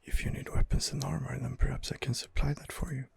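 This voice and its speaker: A man doing ASMR gameplay commentary, musing to himself in a hesitant, unhurried way. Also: medium pitch, little pitch movement, gravelly, slightly rough. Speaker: video game player narrating his playthrough. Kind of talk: ASMR game commentary, murmured in-character musing.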